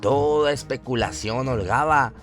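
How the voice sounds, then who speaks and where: A man's voice: mid-range voice, measured pace, a bit bright. A man narrating a scripted horror radio story in a dramatic, solemn and suspenseful manner. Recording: music bed behind the voice. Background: music.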